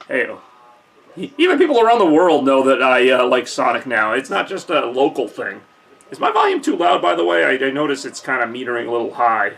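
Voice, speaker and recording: A young man casually hosting a live holiday stream from a decorated room at home, joking and thinking out loud with lots of fillers, recorded on a camcorder mic. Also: medium pitch. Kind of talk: casual live-stream chat reading viewer comments.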